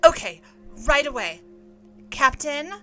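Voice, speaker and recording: A woman's voice: sits high, very animated, slow, bright, forceful tone, faint music behind, full character voice. A woman voicing a chipper receptionist at a therapy office, using a phone voice.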